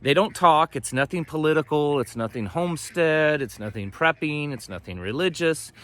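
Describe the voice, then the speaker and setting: A man's voice: fairly high pitch, lively intonation, moderate pace, fairly bright tone. A middle-aged American man hosting a homestead vlog, chatting casually and folksily to camera, rambling and trailing off mid-thought. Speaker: host of a homesteading and prepping channel. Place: outdoors on a homestead, ducks nearby.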